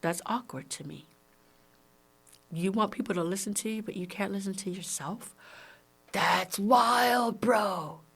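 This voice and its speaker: Female podcast host, Brooklyn New York accent, medium pitch, conversational and emphatic solo delivery. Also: normal speed, long pauses, slightly rough. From Brooklyn, New York, United States. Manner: emphatic, conversational, punctuated with rhetorical questions. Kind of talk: casual solo podcast talk.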